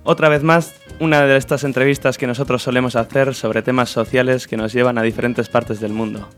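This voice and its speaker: A man's voice: mid-range voice, little pitch movement, a little fast, soft background music. A man with an accent from Spain, hosting an informal community radio interview. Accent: Spanish, from Spain.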